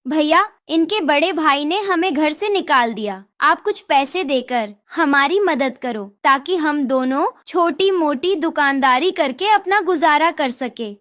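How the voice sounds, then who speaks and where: Meek young bird wife for a moral cartoon, played by a woman: very high, earnest, plaintive, dry. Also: expressive, measured pace, hardly any pauses, a bit bright, no echo. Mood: pleading. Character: meek, pleading young married female bird. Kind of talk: Hindi moral-story cartoon voice acting.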